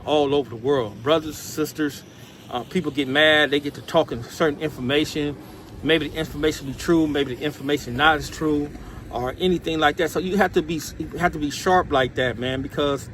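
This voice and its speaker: A man's voice: on the high side, fairly bright tone. An American man talking off the cuff like a vlogger giving advice, streetwise and emphatic, full of slang and addressing listeners as bro. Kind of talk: off-the-cuff motivational talk to camera. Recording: outdoor urban noise, passing vehicles.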